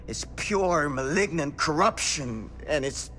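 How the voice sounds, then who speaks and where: Intense, dramatic middle-aged ex-sheriff. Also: male voice, on the high side, expressive, sharp tone, a little hiss or hum, heavily acted. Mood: ominous, grave. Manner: dramatic, urgent, halting. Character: agitated older male ex-sheriff, desperate father, grave doomsayer. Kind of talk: cinematic video game acting.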